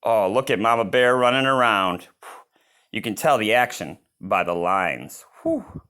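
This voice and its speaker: A man's voice: on the low side, unhurried, smooth. Man reading a children's storybook aloud. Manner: expressive, exclaiming in asides. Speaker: storybook reader. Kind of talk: children's read-aloud with spontaneous asides.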